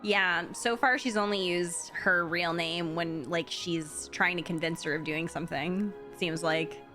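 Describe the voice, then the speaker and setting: Bright-voiced woman streamer, unhurried. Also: fairly low pitch, steady tone. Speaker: streamer reacting to a game. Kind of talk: casual live commentary. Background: game music.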